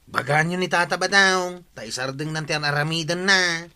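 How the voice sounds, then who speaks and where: A man's voice: fairly high pitch, fairly quick, pushed and bright. A man acting an indignant younger villager with an Ilocano accent and a grumbling, accusatory manner in a comedy radio drama. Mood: accusing.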